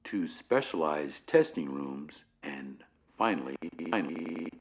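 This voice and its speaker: A man playing a calm, formal corporate training guide in an analog horror video, speaking deliberately with measured pauses on a dull lo-fi recording. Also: extremely low pitch, exaggerated voice. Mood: measured, instructive. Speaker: narrator of fictional company training guide. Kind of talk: scripted instructional narration, analog horror.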